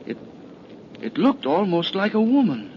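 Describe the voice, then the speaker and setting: A man's voice: high-pitched, sing-song, a little slow. An actor playing a nervous, superstitious, easily frightened man in a 1930s style radio horror drama, anxious and dramatic. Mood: uneasy, eerie. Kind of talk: 1930s old time radio horror acting. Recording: muffled, very noisy vintage radio recording.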